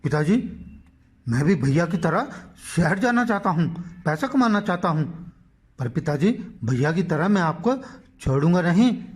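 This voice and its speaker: Man acting restless, high-pitched young village son. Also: expressive, moderate pace, husky. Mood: pleading. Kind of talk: dramatised moral story dialogue.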